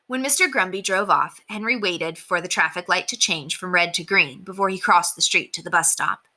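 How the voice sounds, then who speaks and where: A woman's voice: on the low side, moderate pace, pushed and bright. A woman reading a children's chapter book aloud as a storyteller, in scripted narration.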